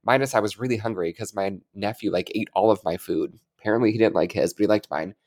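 A man's voice: lowish voice, quick pace, darkish tone. A man co-hosting a casual podcast, stuffy-nosed and congested, chatting in an upbeat, rambling way. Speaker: podcast co-host. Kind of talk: casual chat.

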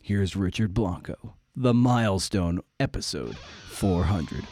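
A man's voice: low voice, slow pace, a little mellow, slight background noise. A man hosting a podcast, chatting casually through an introduction in an irreverent, slangy, self-deprecating way. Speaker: podcast host.

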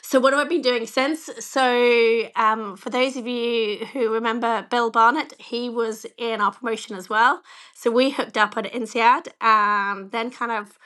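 A woman in her forties with a slightly croaky voice, answering interview questions candidly and with a self-deprecating touch, often saying "you know". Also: fairly high pitch, fairly even intonation, a little slow, sharp tone. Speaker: bank chief executive, interview guest.

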